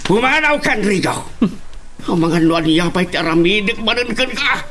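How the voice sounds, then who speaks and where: A man's voice: high voice, lively intonation, normal speed, fairly bright tone, a little hiss or hum. A man playing an angry, scolding relative in an Ilocano audio drama, with a Filipino accent. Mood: furious. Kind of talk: Ilocano radio-style drama acting.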